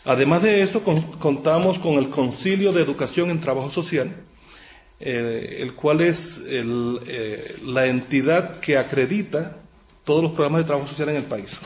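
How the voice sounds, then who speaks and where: A man's voice: on the high side, normal speed. A middle-aged or older man with a Puerto Rican accent, speaking as a guest professor in an informal, good-humoured public lecture. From Puerto Rico. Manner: good-humoured, self-aware asides. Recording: dull, muffled recording with clear background noise.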